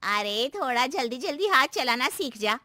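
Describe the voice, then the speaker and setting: Woman acting sharp-tongued older aunt, raised pitch. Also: very animated, moderate pace, no room sound, clean studio sound, heavily acted. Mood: nagging. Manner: scolding, mocking. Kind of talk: family moral-story acting.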